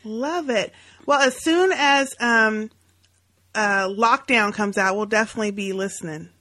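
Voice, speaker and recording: Lively laughing woman co-host. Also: on the high side, unhurried, dry recording. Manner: lively, laughs often, exclaims. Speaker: podcast co-host. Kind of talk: casual chat.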